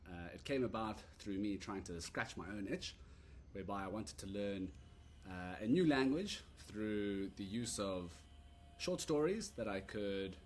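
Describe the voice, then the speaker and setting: Low-voiced man casually demoing his app. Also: measured pace, a little hiss or hum. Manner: relaxed, rambling, many "uh" and "so yeah" fillers. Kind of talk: casual screen-recorded product demo. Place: at a computer recording a screen demo.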